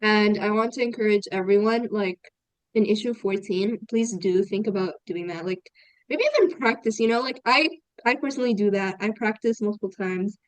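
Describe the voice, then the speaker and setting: A young woman co-hosts a podcast in a relaxed, conversational way and hands listeners easygoing tips. Her voice is recorded close and dry, with no room sound, at an ordinary middle pitch. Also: normal speed, no echo, poor audio. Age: young adult. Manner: relaxed, gives listeners friendly advice. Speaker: podcast co-host. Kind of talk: casual podcast chat.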